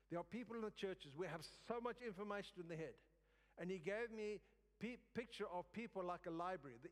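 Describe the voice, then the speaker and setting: Man preaching in a reverberant hall. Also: sits high. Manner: halting, repeats phrases for emphasis. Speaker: guest preacher. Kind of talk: sermon. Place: church service in a large room.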